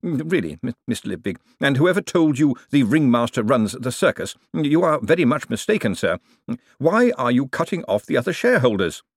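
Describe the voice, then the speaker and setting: A man's voice: medium pitch, sing-song, moderate pace, slightly rough, no room sound, studio quality, pitched above the performer's own voice. A man voicing a fussy, easily offended male chief cashier who stammers and speaks primly. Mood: indignant.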